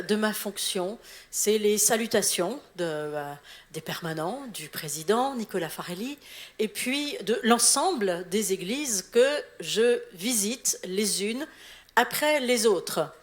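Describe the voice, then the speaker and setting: Middle-aged woman preaching, from alsace, chatty, self-mocking, slightly higher voice. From Alsace, eastern France. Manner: chatty and warm, laughs at her own slips. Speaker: guest preacher, church official. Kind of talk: sermon. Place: church hall.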